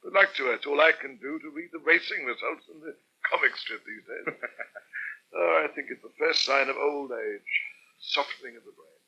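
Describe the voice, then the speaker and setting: A wry, solitary publican in a radio soap. His man's voice is husky and very forceful, with blunt, colloquial delivery. The old recording is dull and worn. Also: fairly high pitch, moderate pace, full character voice. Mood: weary, joking. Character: wry single pub landlord, self-declared loner. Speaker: actor in a radio serial. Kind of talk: 1970s British radio soap acting. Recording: poor, muffled old 1979 radio recording.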